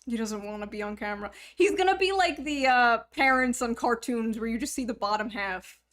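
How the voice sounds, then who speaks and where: Woman, thirties, livestream host, fairly high voice, teasing, profane, laughs often. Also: a little fast, a bit bright. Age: 30s. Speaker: streamer. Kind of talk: casual livestream chat.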